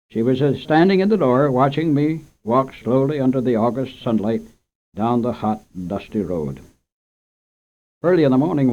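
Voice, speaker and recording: Man reading a story on old radio. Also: mid-range voice. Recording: dull lo-fi old radio recording.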